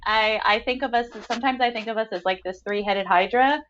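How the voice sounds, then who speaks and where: A woman's voice: a little high, brisk, a bit bright, slight background noise. Female podcast host, gushing and keen, conversational delivery, somewhat dull recording. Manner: effusive, enthusiastic. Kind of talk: casual podcast chat.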